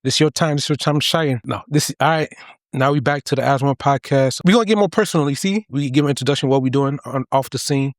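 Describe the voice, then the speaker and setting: A man's voice: mid-range voice, fast talker, dry recording, clean studio sound. A man hosting a podcast, prodding his guest with playful questions in casual chat. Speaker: podcast host. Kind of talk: casual interview conversation.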